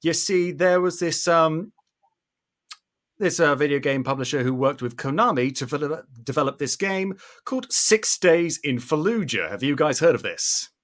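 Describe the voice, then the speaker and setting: A British man in his thirties giving animated, opinionated podcast commentary with theatrical emphasis. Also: fairly high pitch, normal speed. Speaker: podcast host.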